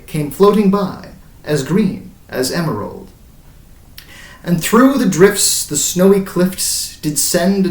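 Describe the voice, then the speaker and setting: A man voicing a haunted, grey-bearded old sailor who recounts his voyage in dramatic, incantatory verse that swells and falls away. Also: fairly high pitch. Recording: roomy echo, distant mic.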